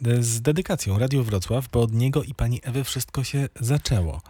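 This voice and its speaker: A male radio host talks in a conversational interview style. His pitch is average and the studio sound is very dry. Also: a little fast, mellow, husky, no room sound.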